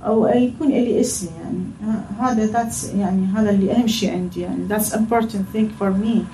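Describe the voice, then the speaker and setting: A woman with an Arabic accent, Arabic her first language, answering questions as a guest artist, halting and apologetic as she searches for words. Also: medium pitch, fairly even intonation, mellow, far from the mic. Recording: echoey room, faint noise, from afar.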